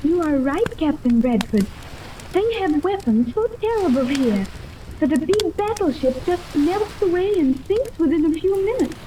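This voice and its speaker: A woman's voice: extremely high pitch, lively intonation, normal speed. An actress in a 1930s radio serial playing an earnest, anxious young girl who speaks with care. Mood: grave, fearful. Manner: earnest, carefully spoken. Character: earnest, anxious young island girl, long-lost daughter. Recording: muted, faintly hissy 1930s radio recording.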